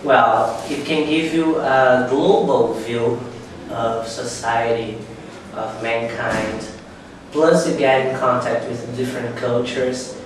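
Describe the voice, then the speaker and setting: A man, a language teacher, answering interview questions in a relaxed, confident way. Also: mid-range voice, slow. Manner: relaxed, confident, peppered with 'you know'. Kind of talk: class project interview. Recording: roomy echo, distant mic, faint noise.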